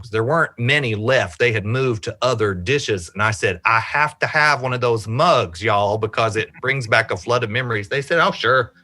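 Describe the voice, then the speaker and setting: A man telling an anecdote conversationally, expressive and savoring his words, over a video call. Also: medium pitch, no echo. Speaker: storyteller, show guest.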